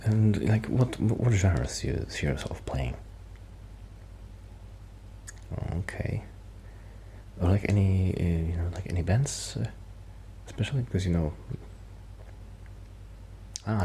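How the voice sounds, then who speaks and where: Guy acting as shy musician buddy, super low creaky voice, soft asmr rambling, bit of background noise. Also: lively intonation, moderate pace, pauses often. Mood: shy, curious. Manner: hesitant, rambling, self-interrupting. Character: shy, quiet young male musician friend who rambles about music. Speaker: ASMR roleplay performer. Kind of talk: soft-spoken ASMR roleplay with whispering.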